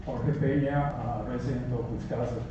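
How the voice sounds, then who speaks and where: A man's voice: medium pitch, fairly even intonation, moderate pace, background noise. A man with a non-native English accent speaking as a resident during public comment at a town meeting, earnest with chuckling asides. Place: town office meeting room. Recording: echoey hall, distant mic, dull.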